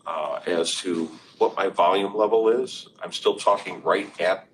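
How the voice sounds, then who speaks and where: A man hosting a live webinar demo in a conference room, asking guiding questions in a relaxed way. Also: low voice, a little slow, poor audio. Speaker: webinar host. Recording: room mic with clear background noise.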